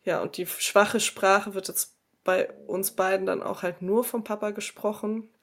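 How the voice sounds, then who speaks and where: A woman's voice: medium pitch, fairly even intonation, measured pace. A woman in her thirties hosting a casual podcast chat, explaining patiently and giving examples in a lecturing way. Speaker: podcast host, speaker on multilingual upbringing.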